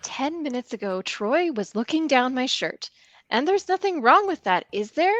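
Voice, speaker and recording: A woman's voice: sits high, sing-song, normal speed, fairly bright tone, no echo, dull audio. A woman playing a vain, anxious teenage girl fretting to herself in an amateur script read. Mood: vain, fretful.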